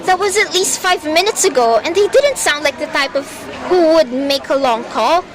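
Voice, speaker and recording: A woman playing an anxious, imperious, highly strung caller in an amateur radio play, stammering and theatrically insistent. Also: animated, normal speed. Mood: urgent.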